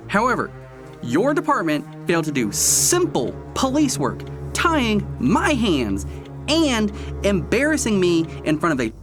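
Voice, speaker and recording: An actor playing an ambitious, self-assured male prosecutor in an audio drama, with a pointed, knowing delivery. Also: high-pitched. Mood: irritated, defiant. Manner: confiding in narration, sharp and sarcastic in argument. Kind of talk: audio drama narration and dialogue.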